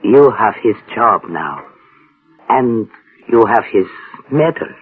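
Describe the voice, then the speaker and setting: A man's voice: on the low side, lively intonation, takes it slowly, slightly rough, exaggerated voice. A man acting an ominous, knowing old theater worker with cryptic foreign-styled phrasing in a 1960s radio drama. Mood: accusing, cryptic. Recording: muffled old radio recording, faint music.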